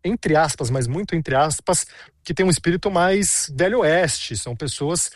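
A man in his thirties reports from a city street in a chatty, storytelling way, full of asides. His voice sits a little high. The sound is dry and close. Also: moderate pace, no room sound. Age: 30s. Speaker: reporter on location. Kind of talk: casual on-location report.

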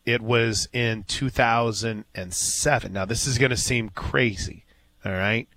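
A man hosts live sports radio in a close, dry-sounding studio voice of middling pitch. He chats and tells stories casually, with folksy exclamations. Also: fairly even intonation, measured pace, pushed and bright, no room sound.

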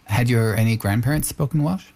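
A man hosting and narrating a documentary-style interview, asking curious questions and reading measured narration. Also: medium pitch, darkish tone. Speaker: host and narrator.

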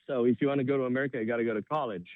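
A man's voice: medium pitch, steady tone, racing, no echo. A man from Romania, a native Romanian speaker, tells his story informally and enthusiastically as an interview guest. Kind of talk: animated personal storytelling in an interview. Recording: thin phone-like remote call sound.

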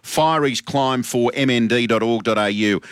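Matey Australian radio host. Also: male voice, medium pitch, moderate pace, a bit bright, clear, resonant tone, dry recording. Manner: upbeat, matey banter. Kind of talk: casual radio chat.

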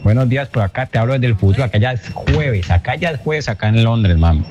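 A man's voice: mid-range voice, lively intonation, fast talker, over music. A man from Cali, Colombia, with a Cali accent, calling in to a radio show, chatty and full of local slang. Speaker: caller. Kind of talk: phoned-in voice note. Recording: dull lo-fi phone audio with noise.

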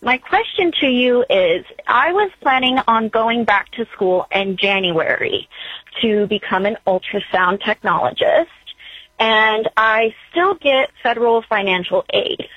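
A woman in her twenties calls in with a question, her voice husky and soft at the edges. She rambles and hesitates, filling the gaps with ums. The line is dull and muffled, with a dry, close sound. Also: on the high side, lively intonation, unhurried, no room sound. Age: 20s. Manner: hesitant, rambling, many fillers. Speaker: caller. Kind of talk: call-in advice question.

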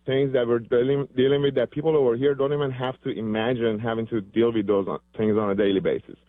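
A man's voice: mid-range voice, fairly even intonation, fast, no room sound. A man who grew up in Iran, calling into a radio show, fervent and earnest. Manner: earnest, fervent, uses comparisons. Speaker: caller. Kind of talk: phone-in call. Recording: dry phone line.

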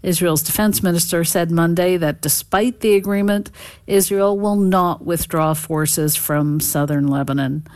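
A woman giving a steady, serious radio news report as a correspondent. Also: on the low side, a little slow, no room sound, clean studio sound.

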